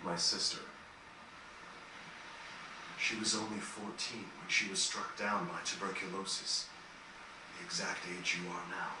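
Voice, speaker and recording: A man playing a gentle, melancholy dying composer in a fantasy game, speaking in a reflective, earnest, measured way with formal phrasing. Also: deep voice, flat delivery. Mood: wistful, somber. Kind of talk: dramatic game cutscene dialogue. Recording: distant, echoey, noisy game capture.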